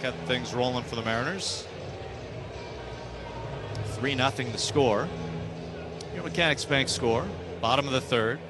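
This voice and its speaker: A man's voice: mid-range voice, unhurried, pauses often, noisy background. A man with an American accent calling live baseball play-by-play on radio in short, clipped phrases.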